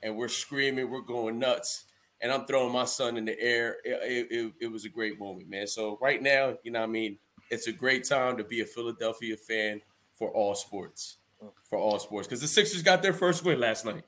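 Animated man telling a story. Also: medium pitch, moderate pace. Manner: animated storyteller, hesitant fillers. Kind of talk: casual sports chat.

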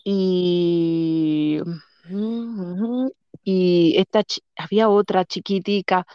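Dominican woman, middle-aged, rambling chat, smooth, dry close sound. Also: lowish voice, very slow, no room sound, highs cut off. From Dominican Republic. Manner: rambling, repeats names, laughs often. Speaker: guest. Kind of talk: casual interview, reminiscing.

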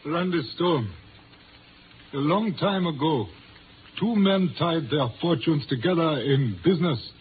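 Guy playing proud old chinese father in a 50s radio drama, stately n formal, highish voice, tinny recording. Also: lightly acted. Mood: grave, ceremonious. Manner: formal, stately, measured phrasing. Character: proud, solemn older Chinese father and community leader. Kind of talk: 1950s radio drama acting. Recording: muffled, noisy 1950s radio recording.

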